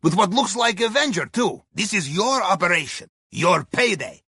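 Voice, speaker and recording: Casting: upbeat Russian submarine heist planner for a video game; wry and folksy, bright higher voice, husky edge. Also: male voice, lively intonation, moderate pace, no room sound, clean studio sound, heavily acted. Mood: wry, businesslike. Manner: wry, folksy, drops articles. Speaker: mission guide. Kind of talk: scripted video game voice lines.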